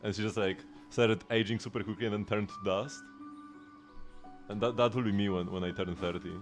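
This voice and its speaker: A man's voice: fairly low pitch, fairly even intonation, fairly quick, music in the background. A man in his late twenties chatting while he streams a game, rambling with lots of restarts and laughs. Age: late 20s. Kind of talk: casual gaming livestream chat.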